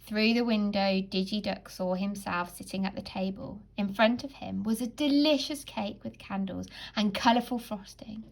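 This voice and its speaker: A woman narrating a children's storybook like a teacher, in a dramatic, deliberate and expressive way. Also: medium pitch, gravelly, rough recording.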